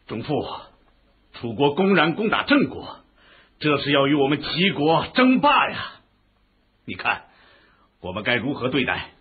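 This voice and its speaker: An ancient Chinese duke, ambitious and impatient. His delivery is theatrical and emphatic, with a hugely animated pitch range. The radio drama recording sounds dull and lo-fi. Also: a little slow, long pauses. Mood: concerned. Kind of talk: historical radio drama acting.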